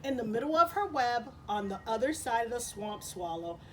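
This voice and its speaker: A woman storyteller reading expressively to children, pausing to explain and repeat things in simple words. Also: on the high side, very animated, fairly bright tone, a little hiss or hum. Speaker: storyteller and host. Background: music.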